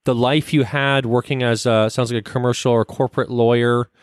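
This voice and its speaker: A man's voice: medium pitch, little pitch movement, brisk, no room sound, clean studio sound. A man from Canada hosting an interview podcast, friendly and rambling, with many fillers and restarts. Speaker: podcast host.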